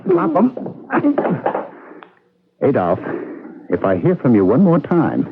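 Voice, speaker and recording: A man's voice: mid-range voice, huge pitch swings, slow pace, vocal fry, heavily acted. A man playing a firm, good-natured postal worker in a 1970s radio drama. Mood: stern. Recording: noisy, narrow old radio broadcast.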